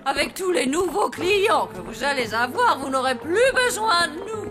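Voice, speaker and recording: A woman's voice: high-pitched, big pitch swings, normal speed. An actress playing a haughty, imperious grand lady in a children's cartoon, speaking formally and easily offended. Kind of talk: French-dubbed children's cartoon acting. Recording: loud music behind the voice.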